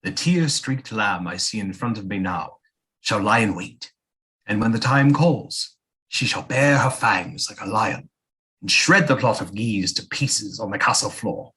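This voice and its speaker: A man acting a devoted, cryptic ghost of an old seer in an audio play, husky and courtly. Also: on the low side, expressive, measured pace, sharp tone, heavily acted. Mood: devoted, encouraging. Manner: courtly, solemn, archaic diction. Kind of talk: theatrical audio drama acting.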